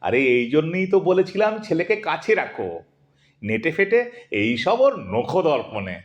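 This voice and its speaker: A man's voice: on the high side, very animated, moderate pace, muffled recording. A man playing an exasperated, sarcastic middle-aged husband in an audio drama, bickering in an argumentative, emphatic, theatrical way. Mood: irritated. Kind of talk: Bengali audio drama acting.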